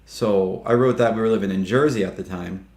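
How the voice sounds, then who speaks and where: A man's voice: on the low side, a little fast, a little mellow. A man in his fifties, a filmmaker guest telling stories in casual interview chat, laughing often and acting out what others said. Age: 50s. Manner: chatty, laughing storyteller who acts out quotes. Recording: roomy echo.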